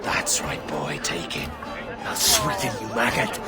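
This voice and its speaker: A man's voice: low-pitched, very animated, unhurried, loud music behind the voice, a loud crowd. A man playing a swaggering, ruthless pirate captain in a video game, with a mocking, grandiose manner. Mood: taunting menace. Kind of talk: dramatic video game acting.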